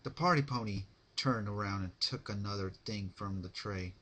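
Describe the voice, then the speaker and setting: A man's voice: on the low side, normal speed, pushed and bright. A man narrating a horror fan-fiction story as an amateur dramatic reading.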